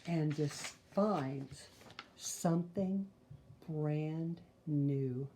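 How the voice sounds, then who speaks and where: Slow, mellow older woman reading to children. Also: deep voice, slight background noise. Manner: deliberate phrasing with gentle asides to child listeners. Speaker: storyteller reading a children's picture book aloud. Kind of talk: read-aloud storytelling for young children.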